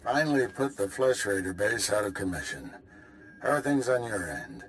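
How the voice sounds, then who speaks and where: An actor playing a grave older Jedi master who gives orders in a measured, commanding way. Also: male voice, fairly low pitch, big pitch swings, fairly bright tone, low-quality recording. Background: game score music.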